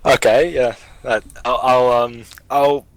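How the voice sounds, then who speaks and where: A man's voice: medium pitch, steady tone, takes it slowly, no room sound. An Australian man, a guest driver, talking in an easygoing, slightly hesitant way.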